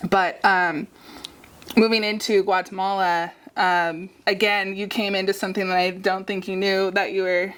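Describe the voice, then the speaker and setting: A woman hosting a heartfelt conversation, reflective and hesitant, with many pauses and "um"s. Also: lowish voice. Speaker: podcast host.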